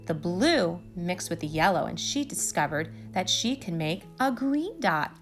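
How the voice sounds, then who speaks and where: A woman reading a children's storybook aloud with expressive, deliberate phrasing. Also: fairly low pitch, soft background music. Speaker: storybook reader.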